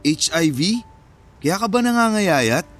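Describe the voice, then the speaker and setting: Cocky, higher-voiced Filipino ex-boyfriend. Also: male voice, sing-song, takes it slowly, exaggerated voice. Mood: defensive. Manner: teasing, boastful. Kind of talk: radio drama dialogue.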